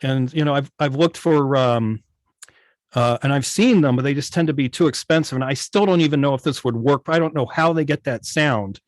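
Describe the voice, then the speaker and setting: A man with a middling pitch talks casually and a little haltingly, keen on small details. His voice comes through very dry, with no room around it. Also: no room sound. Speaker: old-time radio station operator, guest. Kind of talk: casual hobby club chat. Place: video call.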